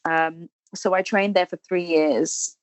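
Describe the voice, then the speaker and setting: A woman's voice: fairly low pitch, steady tone, slow pace, dry recording, low-quality recording. A woman in her thirties from Wales, a Welsh speaker with a Welsh accent, chatting casually as a podcast guest about her work as an actress and singer, full of ums and restarts. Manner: chatty, warm storytelling with many ums and restarts. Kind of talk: casual interview chat.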